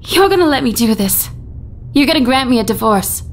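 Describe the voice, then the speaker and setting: Fed-up housewife, highish voice. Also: female voice, expressive, fairly quick. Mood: defiant. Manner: firm, declarative. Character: fed-up earnest 1950s bohemian housewife. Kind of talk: retro radio drama acting. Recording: noisy with low rumble.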